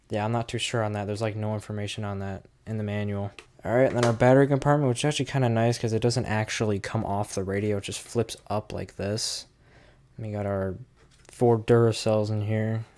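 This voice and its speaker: A man's voice: fairly low pitch, darkish tone, smooth. A man giving a casual, unscripted hands-on product review, thinking aloud as he goes in an informal way.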